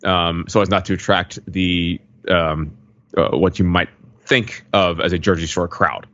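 A man's voice: low-pitched, steady tone, unhurried, a bit bright, dull audio. A middle-aged American man chatting casually as a podcast co-host.

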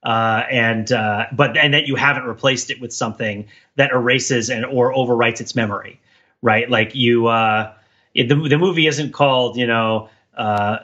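A man's voice: medium pitch, fairly even intonation, normal speed, bright, forceful tone. A man co-hosting a casual pop-culture discussion, talking in a rambling, digressive way, on a slightly lo-fi recording. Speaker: podcast co-host.

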